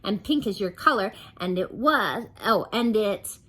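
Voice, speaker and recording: A woman's voice: medium pitch, expressive, sharp tone, pitched above the performer's own voice. A woman voicing a cheerful young chatterbox who gushes in breathless run-on sentences. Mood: gushing. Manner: gushing, rushing run-on chatter.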